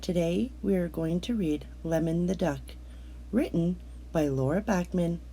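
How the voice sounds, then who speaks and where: A woman reading a children's picture book aloud as a narrator, in a measured, expressive storytelling manner with drawn-out words. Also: lowish voice, slight background noise.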